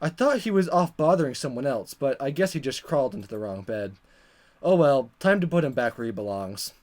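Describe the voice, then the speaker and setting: A gamer voicing a flustered, awkward high school boy from a visual novel, stammering and exasperated. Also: lively intonation, a little fast, a little mellow, natural voice. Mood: puzzled, flustered. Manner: stammering, exasperated inner monologue. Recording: music under the voice.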